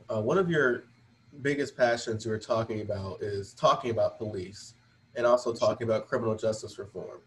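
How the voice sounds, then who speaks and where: A man's voice: lowish voice, a little hiss or hum, rough recording. A man hosting a show in an informal, slangy way, chatting casually with a guest and at times reading aloud from an article. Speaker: show host.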